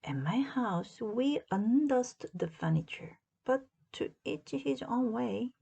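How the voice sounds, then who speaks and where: A woman's voice: fairly high pitch, animated, slow pace, creaky, mellow, husky, lo-fi sound, voice pushed up, heavily acted. A woman voicing a cheerful, earnest, literal-minded housemaid from a children's storybook, with folksy, puzzled exclamations. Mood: puzzled, amused.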